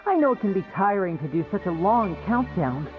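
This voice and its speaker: A woman's voice: medium pitch, sing-song, fairly quick, lightly acted. A woman voicing a polite, composed young male stage magician with theatrical delivery in a video game. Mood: apologetic showmanship. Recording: muffled, music behind.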